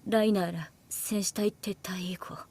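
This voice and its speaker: An actress playing a shrewd, languid young woman soldier in anime, calm and teasing, with a soft, unhurried, analytical way of speaking. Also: medium pitch, breathy edge. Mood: subdued, serious. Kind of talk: Japanese anime voice acting. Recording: dialogue over background music.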